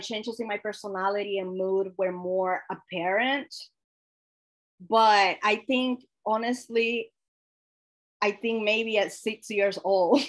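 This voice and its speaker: A young woman speaks openly and personally as a workshop presenter. Her voice sits at an ordinary middle pitch, and she talks in a conversational way, restarting phrases and correcting herself as she goes. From Dominican Republic. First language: Spanish. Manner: frank and personal, with self-corrections and light laughs. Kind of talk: candid conversational workshop talk.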